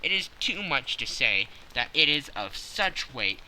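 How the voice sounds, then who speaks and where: Guy doing a big haughty german count for an audiobook, harsh n loud, super forceful, bit of background noise. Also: big pitch swings, a little slow, full character voice, rough recording. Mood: grave, imperious. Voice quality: deep, harsh. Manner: formal, forceful, imperious. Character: huge masked Bohemian nobleman, haughty, secretive, commanding.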